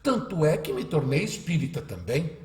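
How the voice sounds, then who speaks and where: An actor playing a devoted, folksy country husband with a Brazilian accent and an expressive, reassuring manner, in a radio drama. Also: male voice, on the high side, moderate pace, echoey room.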